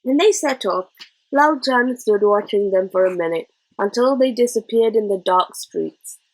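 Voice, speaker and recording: A woman's voice: mid-range voice, expressive, moderate pace, poor audio. A woman reading a children's adventure story aloud as scripted narration. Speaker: audiobook reader.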